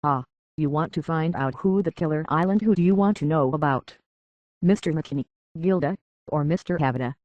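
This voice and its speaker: A woman's voice: deep voice, fairly quick, darkish tone, dry recording, dull audio. A woman voicing a haughty, petulant rich heiress in a robot body for a video game, with pompous, scornful, theatrical diction through a metallic filter. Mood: indignant.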